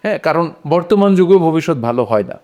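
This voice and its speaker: A man's voice: fairly high pitch, lively intonation, quick pace, warm tone. A man on a discussion panel, explaining his opinions on a short story in a casual conversational way.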